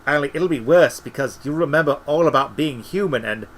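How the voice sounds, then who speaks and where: A man voicing a defensive, ancient wizard servant in an audiobook, gruff and folksy, given to whining and ungrammatical asides. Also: on the high side, a little fast, slight background noise, pitched above the performer's own voice, lightly acted, poor audio. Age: older. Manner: colloquial, ungrammatical, tacks on "see?" and "you know".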